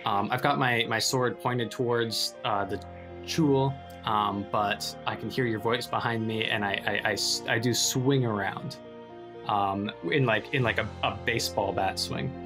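A man playing a tabletop roleplaying game, improvising casually and haltingly as he thinks aloud. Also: on the low side, steady tone, over music.

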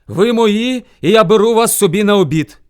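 A man voicing a huge, arrogant bear, a domineering lord who shouts boastful commands in a folk tale. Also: high voice, animated, normal speed, clean tone, pitched above the performer's own voice. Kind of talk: animated folk tale storytelling.

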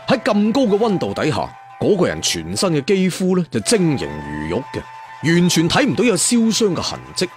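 A man's voice: on the high side, huge pitch swings, moderate pace. A man with a Hong Kong Cantonese accent narrating a story dramatically and colloquially, chatting to listeners with rhetorical asides. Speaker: audiobook storyteller. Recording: music bed behind the voice.

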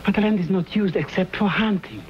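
An actor playing a humble middle-aged settler husband in a 1950s western, earnest, anxious and pleading. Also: male voice, sits high, a little fast, muffled recording, close to an everyday voice. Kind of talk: 1950s television western acting. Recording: noisy old film soundtrack.

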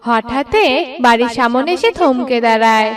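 A woman narrates a cartoon tale from a script. Her voice is high, and it sounds a little away from the microphone. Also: normal speed, recorded from a distance.

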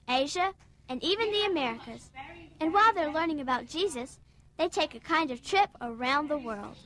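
High, chatty kids'-show narrator woman. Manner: bright, chatty, kid-friendly. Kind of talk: scripted voice-over narration. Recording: voice-over over background music.

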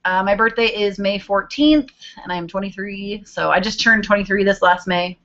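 A woman's voice: mid-range voice, normal speed, fairly bright tone. A woman in her twenties talks in a loose, chatty way, humming to herself as she hunts for the next viewer question. She is recorded on a webcam in a small bedroom. The audio is a little dull and lo-fi. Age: 20s. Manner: chatty and rambling, hums while searching. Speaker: vlogger answering viewer questions. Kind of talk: casual chat. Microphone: webcam video call.

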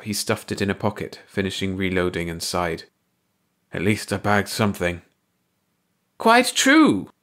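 A man narrating a science-fiction short story aloud in an expressive, measured manner. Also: lowish voice. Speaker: audiobook narrator.

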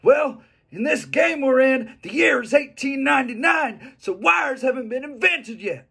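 A man voicing an earnest, exasperated young cowboy gunslinger from a western video game parody, with a raspy, loud drawl. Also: very high-pitched, lively intonation, measured pace, heavily acted.

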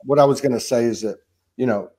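A man's voice: mid-range voice, fast talker, darkish tone, breathy edge. Rambling fifty-something guy hosting a chill video call chat, lots of "you know, man", loose and easygoing. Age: 50s. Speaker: host. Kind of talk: casual spiritual chat. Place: home room, indoors.

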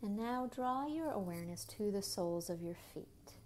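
A woman leading a guided body scan meditation in a gentle, unhurried manner, with soft, breathy phrase endings and long pauses between short phrases. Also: mid-range voice, animated, a little hiss or hum.

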